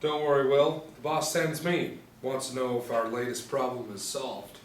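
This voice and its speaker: A man's voice: medium pitch, fairly even intonation, moderate pace, close to an everyday voice. A man playing a smug, menacing crime henchman at a script table read. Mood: smug, threatening. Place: small room indoors. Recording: roomy, distant mic, faint noise.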